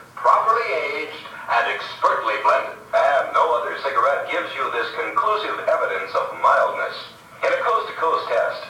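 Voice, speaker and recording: A man's voice: fairly high pitch, pushed and bright. An American man announcing a vintage radio commercial in an emphatic, polished sales delivery. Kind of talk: vintage radio cigarette advertisement. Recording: echoey, distant old broadcast, faint noise.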